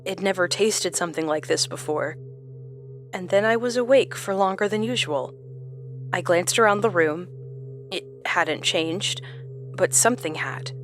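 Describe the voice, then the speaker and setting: An actress playing a haunted, trapped woman who gives a measured, brooding monologue in a horror audio drama. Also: fairly low pitch, a bit bright, faint music behind. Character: haunted woman trapped in endless relived lives.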